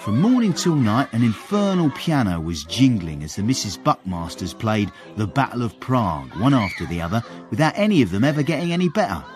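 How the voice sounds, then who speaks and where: An actor playing a cheeky manservant who narrates a period radio comedy in a wry, florid, relishing way, with literary airs. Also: male voice, medium pitch, very animated, fairly quick, hardly any pauses, soft background music, natural voice. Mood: florid, exasperated.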